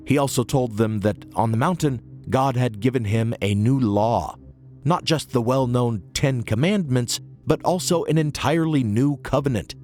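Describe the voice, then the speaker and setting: A hoarse man narrating a history podcast in a measured, earnest way. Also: mid-range voice, a little mellow. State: hoarse, recovering from illness. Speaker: podcast host.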